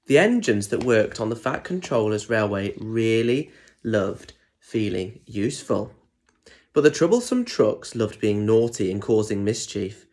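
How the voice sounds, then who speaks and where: A man's voice: lowish voice, lively intonation, a little slow, darkish tone. A man reading a children's story aloud expressively, with chatty asides and questions to young listeners about the pictures.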